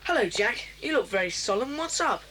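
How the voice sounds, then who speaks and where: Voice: mid-range voice, very animated, brisk, background noise, natural voice. A performer playing a friendly, inquisitive schoolboy in a children's audio drama. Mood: curious, dismissive.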